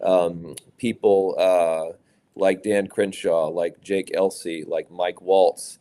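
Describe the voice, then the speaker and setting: Middle-aged man from Louisiana, low and mellow, measured guest answering questions. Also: fairly even intonation. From Louisiana, USA. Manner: measured, many fillers and restarts. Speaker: private equity executive, guest. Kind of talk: conversational interview answers.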